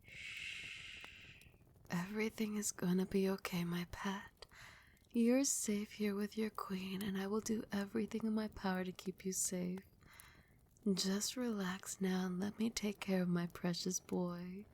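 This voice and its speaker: Woman acting as a doting vampire queen, soft breathy asmr, kinda creaky mellow voice, seductive and in charge. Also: mid-range voice, steady tone, a little hiss or hum, close to an everyday voice. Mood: doting, soothing. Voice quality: soft, breathy, often whispered. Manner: slow, soothing, cooing endearments. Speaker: ASMR roleplay voice actor. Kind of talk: soft whispered ASMR roleplay.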